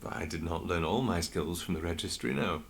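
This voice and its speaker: An actor playing a composed, wry investigator with a measured, polite manner in a fully voiced video game. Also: male voice, low voice, gravelly, slight background noise. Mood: wry, reflective. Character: composed male investigator, former street thief, wry and courteous. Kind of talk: scripted video game voice acting.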